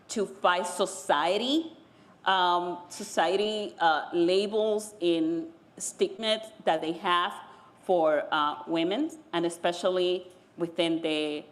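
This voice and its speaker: A middle-aged woman from the Dominican Republic, a native Spanish speaker, telling her story on a panel in a halting way with many pauses. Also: fairly low pitch.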